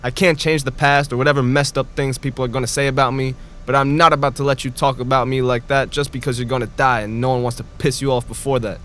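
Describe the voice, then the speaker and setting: A man's voice: medium pitch, little pitch movement, fast, hardly any pauses, fairly bright tone, a little hiss or hum, natural voice. A man playing a frank, defiant young fiancé in an audio drama, talking bluntly and confrontationally.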